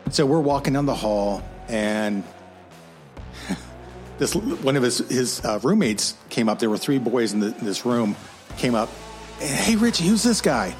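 A man tells a moving personal story, and his pitch rises and falls a lot as he goes. Faint music plays underneath. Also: very animated, unhurried.

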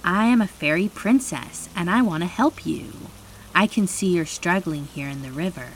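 A woman voicing a kind, eager little fairy princess in a children's storybook, gentle, airy and reassuring. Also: fairly low pitch, normal speed, a little hiss or hum, pitched above the performer's own voice, natural voice, rough recording.

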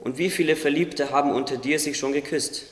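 A man's voice: fairly high pitch, fairly even intonation, a little fast, close to an everyday voice. A man playing a wise, modest winged creature who encourages a friend, earnest and instructive, in a religious radio play. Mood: encouraging. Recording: echoey, long reverb, noisy ambience.

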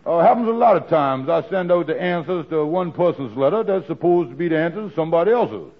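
A scheming comic fellow in an old radio comedy show, his voice high and husky. He blusters and stammers as he spins glib excuses. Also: expressive, fast. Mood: glib bluffing. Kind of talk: 1940s radio comedy acting. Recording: dull old radio recording.

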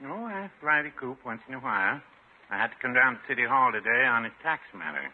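Casting: dry, unhurried older pharmacist, husky voice, 1950s radio sitcom with thin old sound. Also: male voice, mid-range voice. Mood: dry, deadpan. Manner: deadpan, unhurried. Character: dry, mild older druggist, long-married man. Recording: tinny 1950s radio recording.

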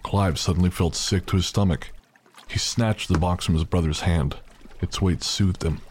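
A man's voice: low-pitched, fairly even intonation, moderate pace, slight background noise. A man narrating a scripted audio drama as its storyteller.

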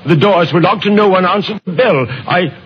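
A man playing a distraught, flustered brother in a 1950s radio drama, speaking in a halting, agitated way. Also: high voice, lightly acted. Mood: shaken. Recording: dull old radio recording, faint noise.

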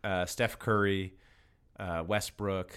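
A man's voice: low-pitched, flat delivery, slow, a bit bright, clear, resonant tone. A male podcast host with a casual, slangy delivery, moving between reading a story aloud and chatting. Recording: music playing behind the voice.

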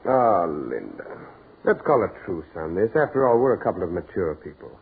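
An American actor playing a brisk, work-obsessed businessman with a dry, evasive manner in a 1940s radio drama. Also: deep voice, sing-song, vocal fry, heavily acted. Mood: irritated, then conciliatory. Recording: scratchy 1940s radio broadcast, muffled, noisy.

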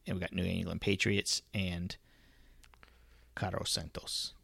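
A man's voice: sits low, flat delivery, a little slow, vocal fry, a bit bright, breathy edge, no room sound. A man co-hosting a casual sports podcast in a laid-back way, laughing easily as he reads through lists of names. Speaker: podcast co-host.

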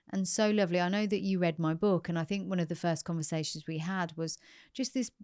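A woman hosts an interview podcast in a chatty, encouraging manner, full of small fillers. Her voice is very low for a woman, and the sound is dry and close. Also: gravelly, a little mellow, dry recording. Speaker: podcast host. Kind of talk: interview hosting.

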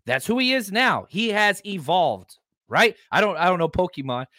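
A man's voice: high voice, big pitch swings, normal speed, pushed and bright, no echo, studio quality. A man in his forties hosting a sports podcast, talking emphatically and repeating phrases for stress. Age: 40s. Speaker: podcast host.

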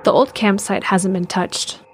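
A woman narrating an audio drama in a calm, measured way. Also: medium pitch, over music.

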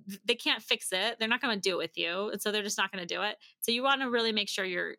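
A middle-aged woman giving advice as a podcast guest in a casual interview, chatty and animated and given to laughing. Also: medium pitch. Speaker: podcast guest, language-learning company founder.

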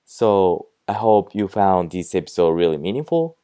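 Deep-voiced young man with Japanese accent. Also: lively intonation, moderate pace, mellow, smooth, dry recording, studio quality. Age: young adult. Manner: chatty, fills speech with "like" and "you know". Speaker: podcast host and language teacher. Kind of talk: casual podcast lesson.

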